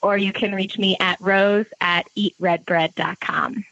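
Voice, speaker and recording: A woman's voice: medium pitch, steady tone, measured pace, creaky, fairly bright tone, no echo, highs cut off. A woman pastry chef in casual podcast chat, talking freely and laughing often. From Ecuador and Virginia, US. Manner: chatty, laughs often, fillers like "like".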